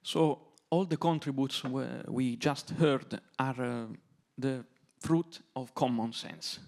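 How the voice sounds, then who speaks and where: A man with an Italian accent speaks English as a second language on a conference panel. His voice is noticeably gravelly at a middling pitch. He builds his points slowly, in short, halting phrases. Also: darkish tone. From Italy. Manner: measured, halting, fragmented phrasing.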